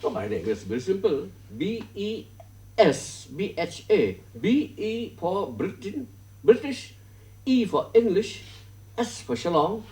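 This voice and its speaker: A man with a high, very animated voice that leaps around as he talks. He sounds eager and boastful, chattering away as the comic lead of a sitcom. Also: slow, poor audio. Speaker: main character in comedy series. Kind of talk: comedy series acting.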